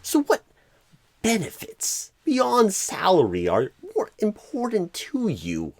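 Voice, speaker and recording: A man voicing a clueless, rambling, eccentric job recruiter in an ASMR roleplay, halting oddly mid-phrase in a breathy, husky voice that often drops to a whisper. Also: high voice, wildly swooping pitch, darkish tone, a little hiss or hum, full character voice. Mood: earnest, halting.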